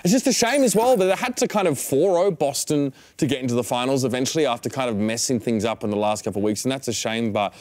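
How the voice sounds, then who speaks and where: A man's voice: mid-range voice, animated, fast, few pauses, a little mellow, no echo, studio quality. A man giving casual esports panel commentary, with playful storytelling, as a host and analyst.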